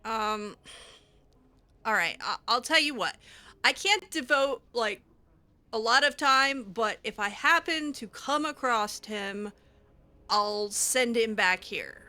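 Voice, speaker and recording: A woman's voice: on the high side, animated, takes it slowly, pushed and bright, no echo. A woman doing casual improvised roleplay while playing a video game, hesitant, awkward and drily reluctant. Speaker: video game player roleplaying her character.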